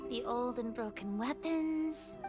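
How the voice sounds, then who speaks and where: A woman voicing a tiny, excitable childlike fairy companion in a video game, squeaky and full of exclamations and questions. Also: takes it slowly. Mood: puzzled. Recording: game music behind the voice.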